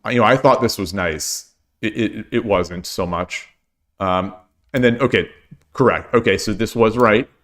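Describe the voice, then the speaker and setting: A man with a hoarse voice streaming shogi, talking casually and thinking aloud as he looks over his games, often repeating his phrases. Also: lowish voice, normal speed, a bit bright. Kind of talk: casual game commentary.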